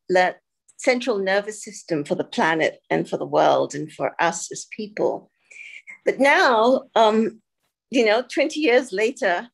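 An older woman from Singapore, giving a reflective presentation over a video call, pausing often with fillers. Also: mid-range voice. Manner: reflective, halting with many fillers. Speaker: guest speaker.

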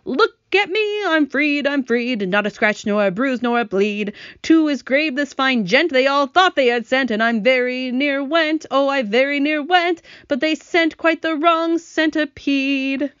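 A woman voicing a boastful, excitable male centipede from a storybook, exclaiming in a singsong, shouting way. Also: high voice, unhurried, hardly any pauses, no room sound, muffled recording, voice pushed up. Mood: triumphant singsong. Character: boastful, excitable male centipede, gleeful self-styled pest.

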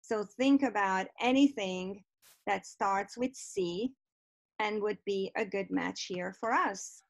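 A woman's voice: medium pitch, takes it slowly, vocal fry, no room sound, rough recording. Hungarian-accented woman leading a webinar. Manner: warm, encouraging, reads out chat replies. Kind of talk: online teacher workshop presentation. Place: home office on a video call. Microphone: computer mic on a video call.

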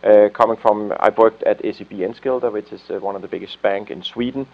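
A man in his forties with a Danish accent and a lowish voice speaks on a conference panel. He pauses often, filling gaps with frequent "uh" sounds as he builds his points. The recording is dull and lo-fi, as captured in a meeting room. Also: vocal fry. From Denmark. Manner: hesitant, many uh fillers. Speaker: investor outreach head on a panel. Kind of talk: panel discussion remarks.

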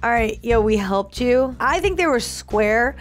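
A woman's voice: fairly high pitch, animated, unhurried. Chatty woman reacting, faint music behind. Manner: chatty, slangy, acts out imagined lines. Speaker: co-host of a reaction show. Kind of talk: casual reaction chat.

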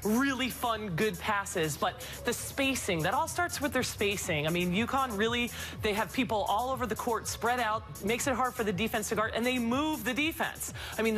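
A woman's voice: a little high, a bit bright. A woman in her forties, a former player giving enthusiastic, emphatic post-game analysis in a TV studio on a lapel mic.

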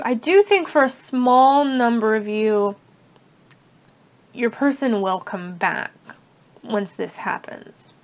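Young woman doing a tarot reading, bit raspy, higher voice, lots of ums and you knows. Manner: chatty, reassuring, many fillers. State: slightly raspy voice. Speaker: tarot reader. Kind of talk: casual tarot reading to camera. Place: indoors at a table.

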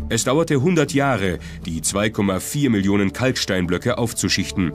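Male documentary narrator, lower register, clear music bed underneath.

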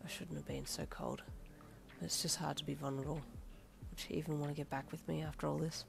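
A woman voicing a guarded, brooding young man who speaks low and withdrawn. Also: flat delivery, fast, gravelly, over music.

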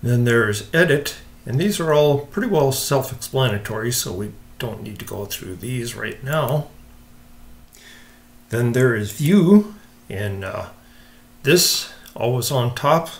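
A man hosting a casual software walkthrough, explaining step by step in an informal, unhurried way. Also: medium pitch, animated. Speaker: software tutorial host.

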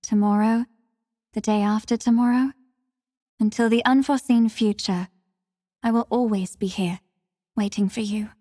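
An actress playing a devoted, composed young female warrior in a video game, speaking with formal, measured, soft courtesy. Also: a little high, fairly even intonation, no room sound, studio quality, big-room sound, close to an everyday voice. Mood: gentle, attentive. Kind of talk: scripted mobile game character lines.

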